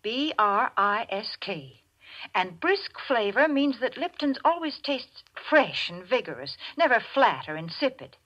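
A woman with a slightly high voice gives a vintage radio sales pitch. She is prim, folksy and chatty, heard through a slightly dull old broadcast. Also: sing-song, unhurried, fairly bright tone. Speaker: sponsor spokeswoman. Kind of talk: 1940s radio commercial pitch.

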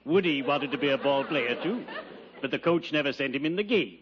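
An actor playing a gloomily courteous, pompous undertaker in old-time radio comedy, with formal, mournful diction. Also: male voice, a little high, expressive, fairly quick, crowd noise, close to an everyday voice. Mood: solemn, droll. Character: gloomily courteous, pompous male undertaker fond of morbid puns. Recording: noisy, dull vintage radio recording.

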